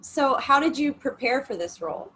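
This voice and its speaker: A woman editor puts friendly, enthusiastic questions to her guest. Her voice sits a little high, and a faint noise runs under the recording. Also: animated, normal speed, bright, forceful tone. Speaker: interviewer, editor.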